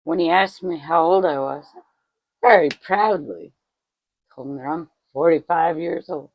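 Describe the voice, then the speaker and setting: A woman's voice: low voice, measured pace, muffled recording. A woman in her forties chatting casually to her vlog audience at home, self-mocking and swearing freely. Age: 40s. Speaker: vlogger.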